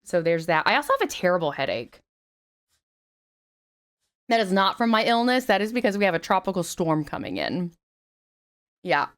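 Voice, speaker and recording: A young woman talks casually on stream through a headset mic. Her voice is still a little raspy from a recent flu. She chats loosely and curses freely, with a lively melody that leaps up for greetings. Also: lowish voice, moderate pace, pauses often. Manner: chatty, swears freely, animated greetings. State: slightly raspy after flu.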